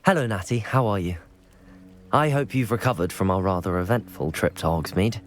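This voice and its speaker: A man's voice: low voice, fairly quick, natural voice. A man playing a polite, guarded student wizard in a video game, understated in manner. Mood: reassuring.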